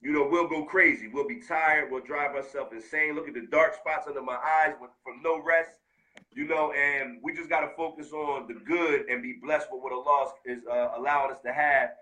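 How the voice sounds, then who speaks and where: American man, heartfelt, slangy, bright tone. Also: on the high side, moderate pace, low-quality recording. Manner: heartfelt, street slang, animated. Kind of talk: casual conversation.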